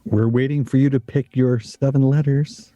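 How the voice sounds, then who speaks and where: An actor playing an easygoing, dryly blunt friend at a game night in a comedic radio play. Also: male voice, mid-range voice, fairly even intonation, normal speed, dark tone, no echo, natural voice. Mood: dry, impatient. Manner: matter-of-fact, dryly blunt.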